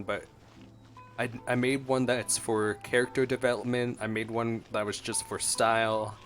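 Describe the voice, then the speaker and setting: A man's voice: on the low side, fairly bright tone. A man doing casual, playful gameplay commentary as a streamer, rambling as he thinks aloud. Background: game music.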